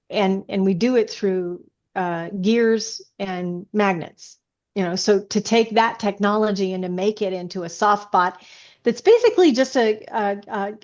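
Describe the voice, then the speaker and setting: A woman in relaxed podcast conversation, her voice sitting at a middle pitch. She talks with enthusiasm and often interrupts herself to rephrase. The recording is slightly dull and lo-fi. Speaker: science fiction author, podcast guest. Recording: dull, lo-fi sound.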